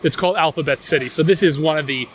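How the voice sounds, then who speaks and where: Brief: New York-accented man, fairly high voice, rambling outdoor tour guide, lots of ums and restarts. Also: quick pace, a little hiss or hum. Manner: rambling, enthusiastic, many fillers and restarts. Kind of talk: casual walking-tour chat. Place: outdoors on city streets.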